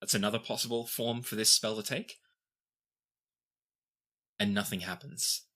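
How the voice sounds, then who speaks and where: A man acting as game master, improvising narration for a tabletop game in a measured way with pauses mid-sentence. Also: on the low side, bright, forceful tone.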